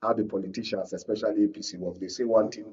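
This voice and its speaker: A man's voice: lowish voice, steady tone, fast talker, mellow, slightly rough, lo-fi sound. Probing Nigerian man, slightly distant mic. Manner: interjecting, probing. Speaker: panel guest, media analyst. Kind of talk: challenging political debate.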